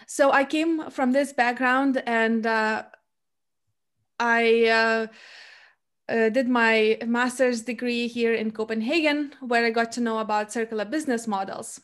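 A woman's voice: a little high, steady tone, measured pace. A young woman hosting a podcast interview, talking casually and stopping often for filler sounds. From Lithuania. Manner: hesitant, many filler sounds. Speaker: podcast host.

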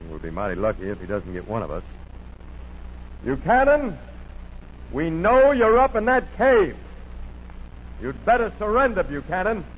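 A man's voice: fairly high pitch, huge pitch swings, moderate pace, pauses often. An actor playing a resolute, commanding lawman in a 1940s radio drama. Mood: calling out. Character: resolute lawman leading a manhunt. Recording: muffled noisy 1940s radio recording.

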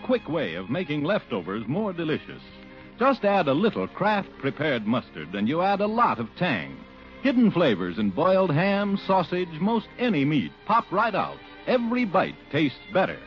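A man reads a 1940s radio commercial as an upbeat, persuasive pitchman. His fairly high voice rises and falls very freely. The recording is a dull old broadcast with music playing underneath. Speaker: radio ad announcer.

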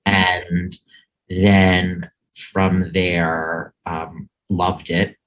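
Low-voiced New man, rambling. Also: monotone, extremely slow, fairly bright tone, slight background noise, low-quality recording. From New York. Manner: rambling, many ums and restarts. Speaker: guest, media sales executive. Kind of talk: casual interview chat. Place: office.

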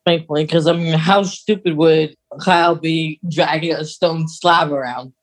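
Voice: mid-range voice, steady tone, a little slow. A podcast co-host chatting casually and informally, recapping in a conversational way. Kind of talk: casual chat.

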